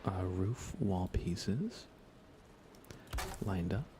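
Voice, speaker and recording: A soft-spoken man chatting casually in an ASMR game livestream, often slipping into a whisper as he rambles along unhurriedly. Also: sits low, lively intonation, drawn-out words, noisy background. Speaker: live streamer. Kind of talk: soft ASMR gaming stream, casual chat with viewers.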